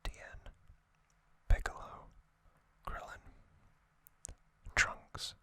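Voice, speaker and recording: An ASMR host whispering a story recap in hushed, deliberate short phrases. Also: no room sound.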